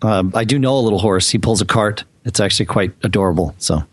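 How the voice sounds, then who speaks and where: A man's voice: on the low side, fast, slightly rough, dry recording. A man co-hosting a casual podcast, joking and thinking aloud with many restarts. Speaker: podcast co-host. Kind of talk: casual tech podcast chat.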